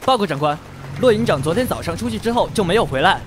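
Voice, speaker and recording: An actor playing a dutiful young soldier giving crisp military reports in an audio drama. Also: male voice, high-pitched, lively intonation, fast, slight background noise. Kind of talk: Chinese audio drama acting.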